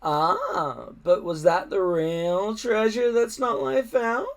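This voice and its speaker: A man voicing a sly, menacing storybook villain who speaks in a slow, drawn-out, insinuating way. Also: sits high, fairly even intonation, creaky, pitched above the performer's own voice, full character voice. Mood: gloating. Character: sly, menacing adult villain, smooth and treacherous.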